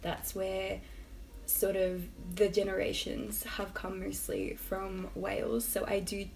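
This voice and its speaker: A young woman with an Australian accent, chatting casually to her viewers. Her talk wanders and hedges. Her voice has a gravelly, creaky edge at a mid pitch. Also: monotone, slow, darkish tone, slight background noise, poor audio. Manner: chatty, rambling, hedging with "I don't know". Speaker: vlogger. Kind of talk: casual talking-to-camera vlog.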